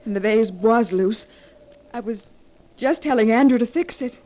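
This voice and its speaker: A woman's voice: a little high, normal speed, a little mellow, natural voice. A woman playing an anxious, devoted young wife in a 1940s radio mystery, with a theatrical, tender and earnest delivery. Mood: reassuring. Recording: noisy 1940s radio broadcast recording.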